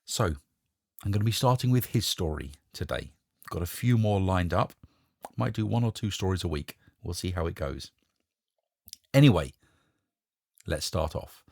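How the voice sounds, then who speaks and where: A man with a husky voice narrating a short story in a measured, expressive storytelling manner. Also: low-pitched, no room sound, studio quality. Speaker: narrator and host reading short stories. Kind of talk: scripted story narration with a short casual introduction.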